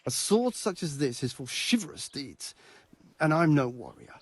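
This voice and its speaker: A man playing a proud but cautious medieval swordsmith in a video game, with a husky voice. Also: fairly high pitch, very animated, normal speed. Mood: wistful. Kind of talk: video game dialogue acting.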